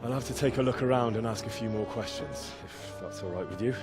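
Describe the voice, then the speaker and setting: A man's voice: lowish voice, fairly quick, over loud music, close to an everyday voice. An actor playing an earnest, dogged young man who questions people politely but insistently in a video game cutscene. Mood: matter-of-fact.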